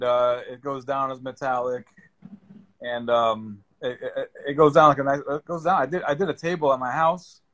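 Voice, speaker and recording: A man's voice: medium pitch, fairly bright tone, poor audio. A man in his forties from the United States, chatting casually on a video call and often repeating words as he goes. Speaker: contractor, panel guest. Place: indoor room, reclining. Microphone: phone at arm's length.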